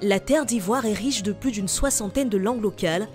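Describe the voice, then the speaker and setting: A woman narrating a television news report in a steady, measured reading voice. Her pitch sits in an ordinary middle range. A soft music bed plays quietly underneath her. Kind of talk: scripted news voice-over.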